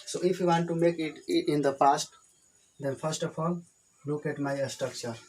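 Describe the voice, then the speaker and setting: A man's voice: on the high side, fairly even intonation, normal speed, a little mellow, slight background noise, rough recording. Indian man teaching English grammar. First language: Hindi. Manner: repetitive, plain explanations. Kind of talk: whiteboard grammar lesson.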